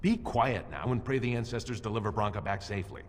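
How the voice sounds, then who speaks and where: An actor playing a haughty, scornful dwarf husband in a fantasy game, stern and dismissive. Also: male voice, on the low side, fast, a little hiss or hum, natural voice. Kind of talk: fantasy video game voice acting. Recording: echoey hall with game music.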